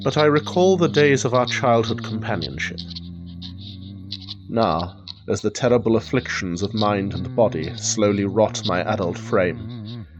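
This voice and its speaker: Man playing an ailing, gloomy gentleman, low-voiced. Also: muffled recording, close to an everyday voice. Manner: formal, melancholic, theatrical. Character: ailing, anxious gentleman, gracious but morbidly gloomy. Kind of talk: period radio drama acting.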